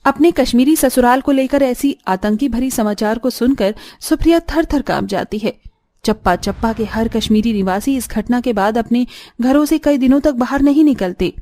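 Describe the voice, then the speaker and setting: A woman's voice: on the high side, normal speed, warm tone, no echo. A woman reading scripted, dramatic story narration for a cartoon video.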